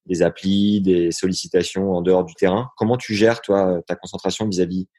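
Homme taquin à la voix grave. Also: male voice, low voice, fairly even intonation, a little fast, dark tone. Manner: teasing, informal. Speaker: interviewer. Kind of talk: casual, joking interview.

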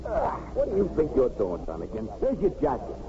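A man playing a gruff, impatient police chief giving orders in a 1950s radio drama. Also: on the high side, huge pitch swings, brisk, full character voice. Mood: brusque, irritated. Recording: muffled, hissy 1950s radio recording.

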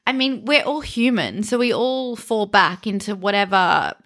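A woman's voice: mid-range voice, expressive, unhurried, fairly bright tone. A woman in her thirties co-hosting a podcast in casual, bubbly chat with her sister. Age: 30s. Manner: bubbly, chatty, laughs easily. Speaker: podcast co-host.

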